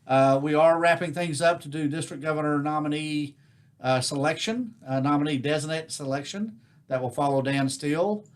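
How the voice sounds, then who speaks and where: An American man speaking informally as host of a virtual club meeting, giving announcements in a halting, rambling, folksy way. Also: fairly high pitch. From United States. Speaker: Rotary district governor hosting online club meeting. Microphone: video call.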